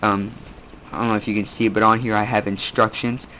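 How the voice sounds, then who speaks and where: Stuffy teen boy, lowish voice, halting and full of ums, muffled lo-fi recording. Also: little pitch movement. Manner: rambling, halting, many fillers. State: stuffed-up nose, sniffing. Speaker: video host. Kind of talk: casual gear show-and-tell. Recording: dull lo-fi audio, faint room noise.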